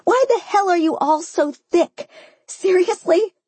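A woman voicing a drunk, furious, meddling young woman in an audiobook, with loud, indignant, sputtering outbursts. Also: very high voice, unhurried, dry recording, voice pushed up. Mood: pushy, heated. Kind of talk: dramatised audiobook dialogue.

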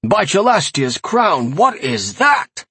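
A man's voice: high voice, sing-song, slow pace, sharp tone, husky, dry recording, pitched above the performer's own voice, heavily acted. A man voicing a frightened, hostile, superstitious farmer in a fantasy audiobook, crying out piously and accusingly. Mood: alarmed.

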